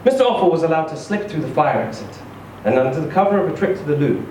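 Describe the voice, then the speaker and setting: A man reading a short story aloud in an expressive, theatrical, emphatic storytelling style. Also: fairly high pitch, fast talker. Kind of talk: expressive live literary reading. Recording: echoey room, distant mic, faint noise.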